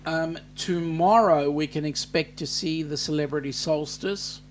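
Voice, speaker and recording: An Australian man chats through a live stream, taking his time and filling pauses with ums as he reads out comments. His voice sits a little on the high side for a man, and he speaks at a slow, easy pace. A faint electrical hum runs underneath. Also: steady tone. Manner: unhurried, chatty, many ums, reads comments aloud. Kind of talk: casual live-stream chat reading viewer comments.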